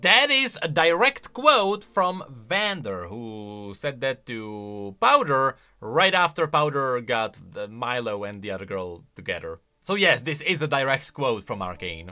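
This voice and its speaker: A man giving unscripted reaction commentary on game voice lines in an animated, exclamatory way. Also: mid-range voice, unhurried, few pauses. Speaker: YouTube commentator.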